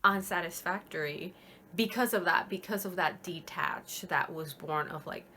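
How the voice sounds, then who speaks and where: Low-pitched young woman chatting casually. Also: normal speed, bright, forceful tone, slight background noise. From Puerto Rico. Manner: candid, informal, occasional swearing. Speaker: book vlogger. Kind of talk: casual chat to viewers.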